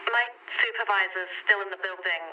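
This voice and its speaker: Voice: mid-range voice, fairly even intonation, brisk, phone-quality sound, close to an everyday voice. An actor playing a guarded, exasperated partner in an audio drama, clipped in manner. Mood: softening, guarded. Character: guarded, exasperated young partner softening despite themself. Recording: distant, slightly noisy intercom.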